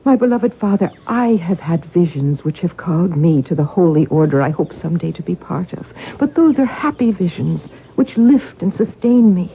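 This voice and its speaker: An actress playing a devout, earnest young noblewoman, measured and theatrical, narrating and acting in a radio drama. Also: lowish voice, lively intonation, narrow, tinny audio, slight background noise. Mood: earnest, troubled. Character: devout, earnest young Irish noblewoman telling her family's story. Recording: band-limited old radio with music.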